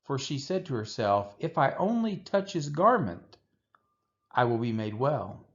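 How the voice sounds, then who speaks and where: A man reading scripture aloud as narration, with measured, deliberate phrasing. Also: medium pitch, sing-song, lo-fi sound. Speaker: Bible reader.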